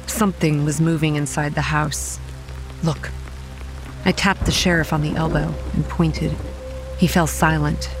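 An actress playing a young campground manager who narrates a horror audio drama in a wry, sardonic, foul-mouthed way. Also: female voice, sits low, low-quality recording. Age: young adult. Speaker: narrator and lead character. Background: rain and music.

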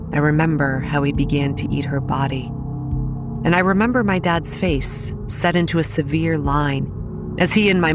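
A woman's voice: low-pitched, lightly acted. Woman playing a haunted young campground manager telling a scary story, serious and careful, music under it. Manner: solemn, deliberate storytelling. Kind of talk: scripted first-person horror narration.

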